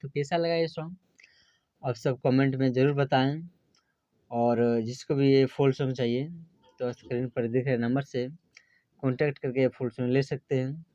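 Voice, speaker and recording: A man's voice: mid-range voice, little pitch movement, moderate pace, pauses often, mellow, dry recording. Man, dull lo-fi sound. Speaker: channel host and songwriter. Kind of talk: casual scripted-sounding channel presentation.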